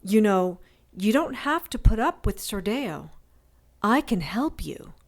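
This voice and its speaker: A woman's voice: mid-range voice, big pitch swings, slow, full character voice. A wry, sarcastic captive witch. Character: sardonic captive young witch, scheming. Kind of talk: audio drama acting.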